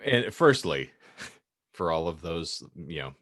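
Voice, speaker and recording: A man's voice: sits low, big pitch swings, slow pace, fairly bright tone, no room sound, clean studio sound. A man co-hosting a podcast, riffing casually with wry, wordy, playfully dramatic phrasing. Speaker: podcast co-host.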